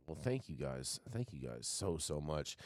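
A man's voice: very deep voice, sing-song, moderate pace, no echo, studio quality. A man hosting a casual news chat, sarcastic, often stumbling and restarting mid-sentence. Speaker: news show host.

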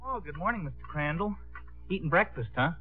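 A man acting a bashful, slow-witted, lovesick young man in a 1950s radio comedy, with a halting, eager manner. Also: fairly high pitch, expressive. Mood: sheepish. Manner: halting, hesitant, drawling. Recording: muffled 1950s radio broadcast, music behind.

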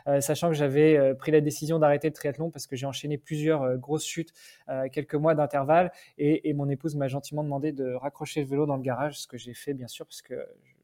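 French guy in his 40s, hesitant, lots of uh and restarts, dark mellow tone. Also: fairly high pitch, flat delivery, fast. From France. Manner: hesitant, many fillers and restarts. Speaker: guest. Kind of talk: casual interview talk.

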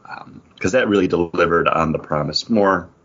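A man's voice: low voice, normal speed, a bit bright, breathy edge. A man talking casually as a podcast host, in dull lo-fi audio. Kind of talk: casual chat.

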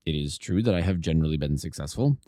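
A man reading the part of a calm, self-assured, aloof detective in an audiobook, in measured, formal speech. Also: very deep voice, dry recording, clean studio sound, pitched below the performer's own voice. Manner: measured, formal, matter-of-fact. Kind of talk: audiobook character dialogue.

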